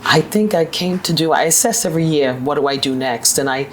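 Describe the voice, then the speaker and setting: A woman in her fifties with a New York accent, a guest telling her career story in an assertive, upbeat way with sudden high lifts in pitch. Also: fairly quick, a little hiss or hum. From New York, USA. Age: 50s. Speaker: guest, managing director. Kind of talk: conversational interview storytelling.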